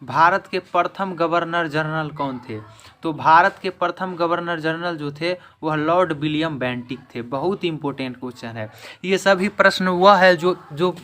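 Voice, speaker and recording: A man's voice: a little high, fairly bright tone. A man teaching an exam-prep lesson in question-and-answer form, emphatically repeating each answer.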